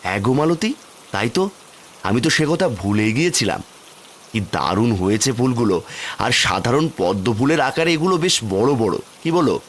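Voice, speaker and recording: Man playing a very animated humble village father. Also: medium pitch, brisk, slight background noise, natural voice. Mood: delighted. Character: humble, simple village father, easily amazed. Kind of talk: cartoon fairy-tale voice acting.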